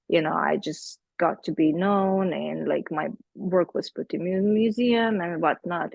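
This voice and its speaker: Gravelly Slovenian-accented woman, hesitant. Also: fairly low pitch, no echo, rough recording. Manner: hesitant, searching for words, many fillers. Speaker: artist presenting her work to a group. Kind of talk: casual group meeting talk. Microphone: video call.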